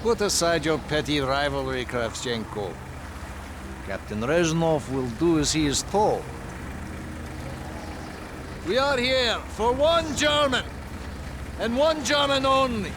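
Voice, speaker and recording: An actor playing a stern Soviet commander with a Russian accent, imperious and measured, in a war video game. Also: male voice, a little high, animated, noisy background. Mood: stern, threatening. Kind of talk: dramatic war game acting.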